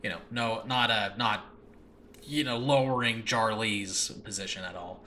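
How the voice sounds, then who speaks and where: Male, casual solo podcaster. Bright, punchy tone, earnest and self-deprecating, loose unscripted delivery, slightly noisy home recording. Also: fairly low pitch, unhurried. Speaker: host and audio drama creator. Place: makeshift room in a new home.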